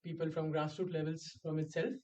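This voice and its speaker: A man from India speaking quickly in a flat, slightly higher, mellow voice. The audio is dull and muffled. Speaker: student.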